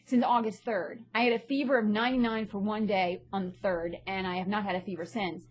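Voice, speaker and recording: A woman's voice: medium pitch, fairly even intonation, fairly quick, a little hiss or hum. A woman talking bluntly and indignantly in a personal vlog, sounding a little stuffy from a sinus infection. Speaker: vlogger and actress. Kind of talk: ranting personal vlog monologue.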